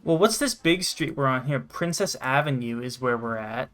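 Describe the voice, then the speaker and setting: A man's voice: mid-range voice, animated, moderate pace, fairly bright tone, soft background music. A man from Canada hosting a gaming show with casual, sweary gameplay commentary. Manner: swears freely.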